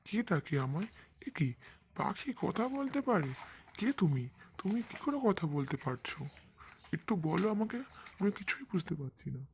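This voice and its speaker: Man acting a polite, higher-voiced fairytale king, noisy recording. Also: very animated, normal speed, long pauses. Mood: astonished. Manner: earnest, pleading, courteous. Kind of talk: cartoon voice acting.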